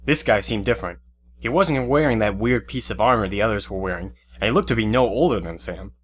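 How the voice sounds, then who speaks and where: A man's voice: lowish voice, fairly quick, slight background noise. A man reading scripted action narration for an audio drama. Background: loud music with guitar.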